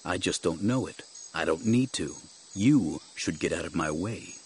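Man doing stern male warrior master, audiobook character, very deep, grim, terse, commanding, controlled. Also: expressive, unhurried, slight background noise. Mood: cold, resolute. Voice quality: low, grim.

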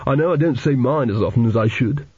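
A man voicing a confident, blunt teenage boarding schoolboy with brisk, protective authority, as in an audiobook. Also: medium pitch, dark tone, husky, dry recording, muffled recording, lightly acted. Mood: stern, scolding.

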